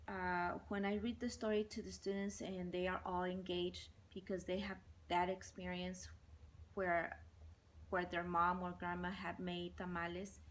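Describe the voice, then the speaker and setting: A woman in her forties whose first language is Spanish, a bilingual teacher giving measured interview answers and listing points one by one. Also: fairly low pitch, little pitch movement, a little hiss or hum.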